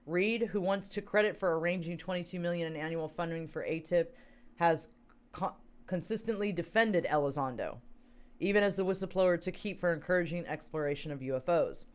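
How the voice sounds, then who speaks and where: Brief: woman co-host, hoarse from a long talk, casual and rambling, fillers and throat clearing. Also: fairly low pitch, brisk. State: hoarse, losing voice. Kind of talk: casual chat, reading news aloud.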